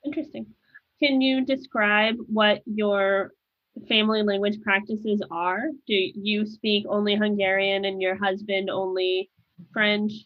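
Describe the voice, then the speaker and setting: A woman co-host of a podcast, asking the guest careful, unhurried questions over a video call. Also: mid-range voice, little pitch movement, muffled recording. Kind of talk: interview questions.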